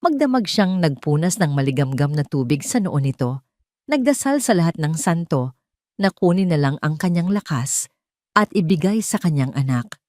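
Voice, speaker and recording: A woman narrating an emotional drama story in a measured, scripted way. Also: sits low, sing-song, a little mellow, no echo, studio quality.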